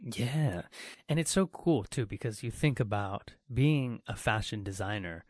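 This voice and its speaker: A man's voice: fairly low pitch, a little slow, a little mellow, dry recording, studio quality. A man hosting a show, speaking in a chatty, effusive, casual interview style. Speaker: show host.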